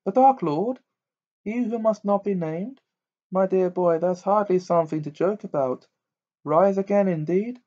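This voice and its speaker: A man voicing a dreamy, eccentric older woman who teaches divination, with a high, breathy, fussing manner. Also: fairly even intonation, measured pace, dark tone. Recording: dull lo-fi recording.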